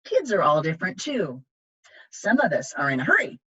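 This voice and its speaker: A woman reading picture books aloud as a library storytime reader, with measured, emphatic storytelling. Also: on the low side, sing-song, pushed and bright, breathy edge, muffled recording. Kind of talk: expressive read-aloud of children's picture books.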